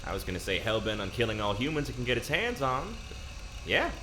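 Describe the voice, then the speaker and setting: A man's voice: mid-range voice, big pitch swings, fast talker, lightly acted. A man playing a wry, defiant, weary station worker in an audio drama, speaking in a dry, hesitant, sardonic way. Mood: dry, sheepish. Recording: humming vehicle-like background noise.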